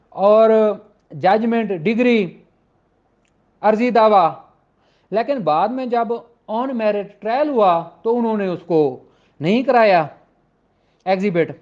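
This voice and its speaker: A man's voice: high voice, a little mellow. A man giving an informal explanatory law lecture, speaking emphatically and repeating points for clarity. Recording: dull, lo-fi audio.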